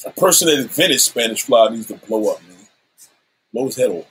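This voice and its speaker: A man's voice: mid-range voice, lively intonation, brisk, fairly bright tone, husky, low-quality recording. A man in his seventies ranting on a livestream, combative and profane, issuing threats and calling out rivals. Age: 70s.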